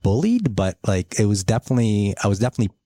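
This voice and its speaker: Casting: middle-aged Polish-born man, deep voice, dry close sound, easygoing podcast chat. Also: quick pace, dry recording. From Poland. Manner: relaxed, rambling, with casual swearing. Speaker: company co-founder.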